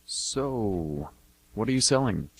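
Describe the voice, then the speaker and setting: A man's voice: fairly low pitch, very animated, takes it slowly, creaky, breathy edge, heavily acted. A man playing a shy, awkward, stammering young man in an amateur radio comedy. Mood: shy, flustered. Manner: awkward, stammering, eager to please. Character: shy, flustered young man in bird costume selling candy.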